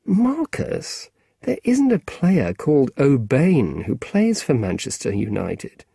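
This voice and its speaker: A man's voice: mid-range voice, wildly swooping pitch, takes it slowly, gravelly, warm tone, husky, no echo, clean studio sound, full character voice. A man doing a blunt, exasperated grown man. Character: weary, blunt adult man, impatient with a boy.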